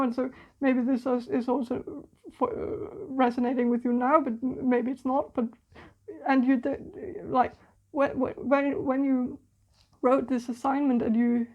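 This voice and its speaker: Hesitant high-voiced woman reading tarot. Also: muffled recording. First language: not English. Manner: hesitant, many fillers and restarts. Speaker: tarot reader. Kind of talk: channeled tarot reading to camera.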